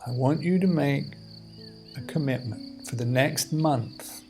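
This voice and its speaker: A man leading a guided meditation as a teacher, in measured, deliberate phrases with pauses between them. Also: mid-range voice, mellow. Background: music and outdoor insect sounds.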